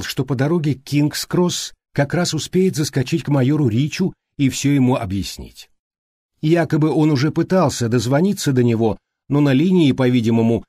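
A man reading a mystery audiobook as scripted narration, with measured, clearly phrased delivery. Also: medium pitch, dark tone, dry recording, studio quality.

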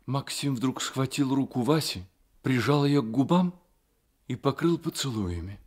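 A grave storyteller narrates a Soviet radio play. He reads literary prose dramatically in a medium-pitched voice. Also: expressive, unhurried, darkish tone. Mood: grave, suspenseful.

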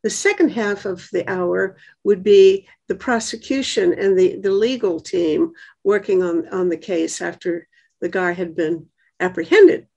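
An elderly woman in her eighties interviewing someone on a video call, thinking through her questions in a halting, conversational way. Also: medium pitch, vocal fry, a little mellow, husky, dull audio. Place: dim book-lined room at home. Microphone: computer mic on a video call.

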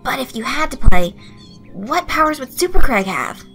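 Woman putting on a high-pitched, excitable boy. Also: normal speed, full character voice. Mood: playful. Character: jittery, teasing, giggly boy, sweetly earnest. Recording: soft music behind the voice.

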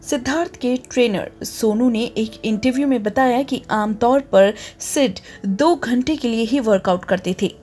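A woman with a fairly high voice reads a scripted news report in a steady, formal way. She sounds like a channel's regular presenter delivering a voice-over.

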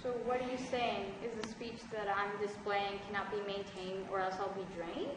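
A woman's voice: medium pitch, little pitch movement, normal speed, lightly acted. A teenage girl playing a hurt, proud schoolgirl who speaks in rhyme in an amateur stage play. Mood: dazed, subdued. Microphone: distant camera microphone. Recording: echoey theatre stage, far off, noisy.

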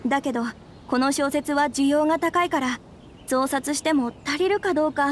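A woman's voice: sits high, moderate pace, a little hiss or hum, natural voice. An actress playing an earnest, harried female publishing staffer, businesslike and fretting, in a game cutscene. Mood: exasperated, worried. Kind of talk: Japanese game cutscene acting.

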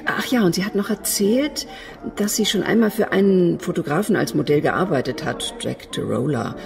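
Brusque, gossipy woman for radio crime drama: low, creaky, offhand. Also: animated, normal speed, darkish tone, faint music behind.

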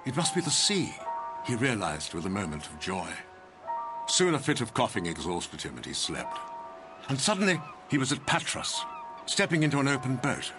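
A man's voice: medium pitch, wildly swooping pitch, moderate pace. A man narrating a literary drama from a script. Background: music.

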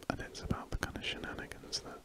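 A British man whispering breathily in a rambling, hesitant ASMR style, with trailing pauses. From United Kingdom. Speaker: ASMR artist narrating while drawing. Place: indoors at a desk, drawing. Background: pen scratching on paper.

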